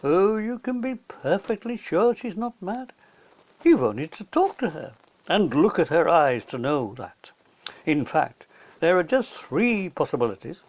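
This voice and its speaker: A man voicing a wise, gently firm professor who reasons carefully in a children's storybook. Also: high voice, sing-song, full character voice, low-quality recording. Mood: reasoning. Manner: measured reasoning, questioning.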